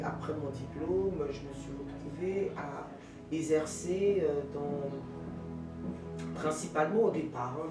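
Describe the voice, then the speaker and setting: A woman in her forties from Ivory Coast, a guest telling her career story in an animated way, with many fillers and restarts. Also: deep voice, reverberant, far from the mic, rough recording. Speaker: guest, jurist and teacher. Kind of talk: interview answers.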